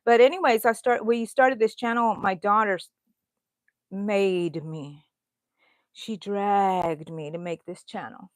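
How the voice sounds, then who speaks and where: A woman's voice: mid-range voice, takes it slowly, no room sound. A middle-aged woman from Houston, chatting loosely with her livestream viewers while she crochets and answers their comments. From Houston, Texas. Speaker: crochet channel host.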